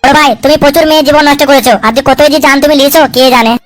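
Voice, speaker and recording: A woman's voice: high voice, steady tone, rapid-fire, soft background music, lightly acted. A woman voicing a wily, cheeky male sidekick in a comic cartoon, chattering, argumentative and coarse-mouthed. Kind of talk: comic cartoon dub dialogue.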